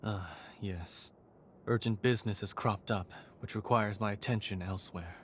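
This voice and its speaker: An actor playing a courteous, refined male leader in a video game, with formal, measured, polite diction. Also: on the low side, slight background noise, natural voice. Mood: gracious, earnest. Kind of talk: video game cutscene acting.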